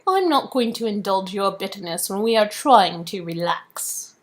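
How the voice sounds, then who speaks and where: A woman's voice: medium pitch, expressive, moderate pace, pitched below the performer's own voice, natural voice. A woman playing bossy, fun-loving young women in a game story, in a teasing, playful manner. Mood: scolding.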